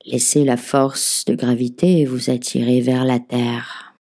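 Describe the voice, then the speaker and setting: A woman leading a guided relaxation as a meditation teacher, giving gentle, soothing, measured instructions. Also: very deep voice, level tone. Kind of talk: guided yoga nidra relaxation narration.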